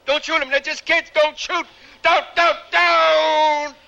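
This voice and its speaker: An actor in a radio drama playing a bitter, haunted, volatile working-class Vietnam veteran with rough colloquial, defensive speech. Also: male voice, extremely high pitch, little pitch movement, slow, bright, forceful tone, highs cut off, a little hiss or hum, full character voice. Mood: frantic, enraged.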